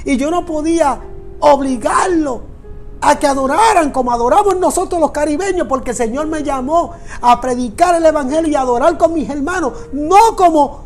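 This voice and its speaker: A man's voice: very high-pitched, moderate pace, soft background music. A man with a Puerto Rican Spanish accent, a pastor preaching a sermon in an earnest, repetitive way that speaks directly to listeners. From Puerto Rico. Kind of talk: sermon on prayer.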